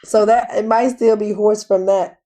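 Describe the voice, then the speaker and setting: Raspy, hoarse woman podcast host. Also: mid-range voice, normal speed. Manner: animated, storytelling with "girl" asides. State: hoarse from a lingering cold. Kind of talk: casual chat.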